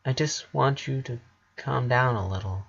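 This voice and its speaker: A man voicing a calm, gentle eleven-year-old boy who speaks softly and soothingly while hiding his grief. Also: mid-range voice, moderate pace, creaky, breathy edge. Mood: gentle, sad. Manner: serene, soft, soothing. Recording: dull lo-fi recording.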